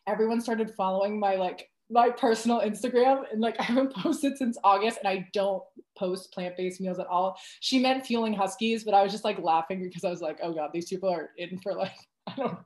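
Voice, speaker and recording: A woman's voice: medium pitch, brisk, darkish tone, reverberant. A woman hosting an interview, chatting casually and laughing between questions. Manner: laughing, conversational. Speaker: interview host.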